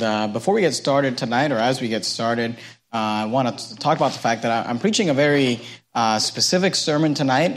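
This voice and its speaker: A man in his thirties preaching an evening sermon at a church service, halting often with fillers and repeated words. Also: mid-range voice. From United States. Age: 30s.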